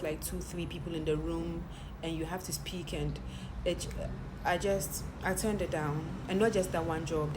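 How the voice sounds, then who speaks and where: A woman talks frankly to camera in a personal monologue, often repeating words and restarting her sentences. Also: sits low. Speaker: content creator and TV presenter. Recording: steady background noise.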